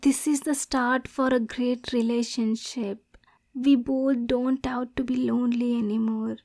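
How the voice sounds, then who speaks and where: Woman acting as yandere demon girl, high voice, slow pace, lovesick, possessive, volatile, ASMR roleplay. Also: flat delivery, a little mellow. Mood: tender, sorrowful. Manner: breathy, clingy, swinging between tender and domineering. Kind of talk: ASMR roleplay addressed to the listener.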